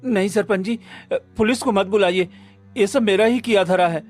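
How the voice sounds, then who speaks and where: A man's voice: high-pitched, normal speed, faint music behind, natural voice. A man playing a greedy, scheming food-cart husband in a Hindi cartoon story, with a fawning, pleading manner.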